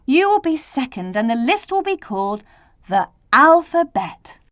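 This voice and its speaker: Storybook grown-ups read by a woman: authoritative and emphatic, firm delivery with animated pitch. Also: unhurried, no echo, studio quality, exaggerated voice. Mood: approving.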